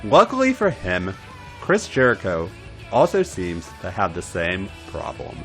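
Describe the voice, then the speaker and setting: A man's voice: low voice, wildly swooping pitch, a little slow, faint music behind. A man hosting a podcast and reading scripted erotic fan fiction as narrator. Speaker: podcast host and story reader.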